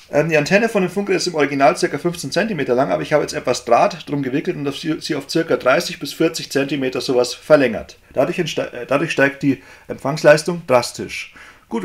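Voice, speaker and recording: A man with a German accent, a native German speaker, giving a casual hobbyist demonstration. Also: fairly high pitch. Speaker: amateur electronics hobbyist presenter.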